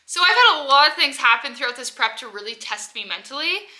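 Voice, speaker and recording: Bright-voiced young woman with a cold. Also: high voice, very animated, fast talker. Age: 20s. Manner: rambling, heavy use of "like". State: stuffy with a head cold. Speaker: vlogger. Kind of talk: casual personal vlog.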